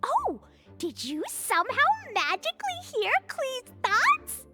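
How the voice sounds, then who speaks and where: Klee, tiny excitable high-voiced girl. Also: slow pace, loud music behind the voice, heavily acted. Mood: delighted wonder. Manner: childlike, eager, refers to self in third person. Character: Klee, excitable sweet little girl, innocent and mischievous. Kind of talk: video game cutscene voice acting.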